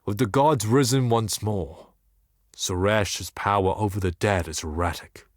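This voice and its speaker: An actor playing a blind, scheming and tormented desert seer in a fantasy game, speaking in solemn, formal, theatrical monologue. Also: male voice, fairly low pitch, no echo, clean studio sound, lightly acted. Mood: ominous. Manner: solemn, formal, ominous, deliberate theatrical delivery.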